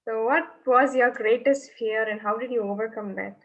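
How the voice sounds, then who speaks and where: A young woman with a fairly high voice asks questions in a casual, easy way. The recording sounds muffled and low-fidelity. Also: fairly even intonation, normal speed. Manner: casual, uses filler "like". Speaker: interviewer. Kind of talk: interview questions. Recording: dull lo-fi audio.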